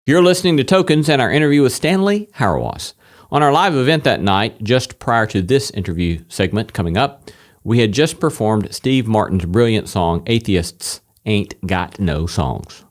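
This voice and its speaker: A man hosting and interviewing for a podcast, presenting in a measured, deliberate announcer's way. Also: fairly low pitch, expressive. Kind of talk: hosting and presenting a public theology show.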